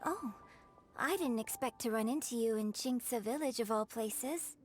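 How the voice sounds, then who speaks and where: A woman's voice: on the high side, moderate pace, darkish tone, soft background music, close to an everyday voice. A woman voicing a poised, gracious young opera performer in a video game, speaking politely with refined, composed phrasing. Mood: gracious, pleased.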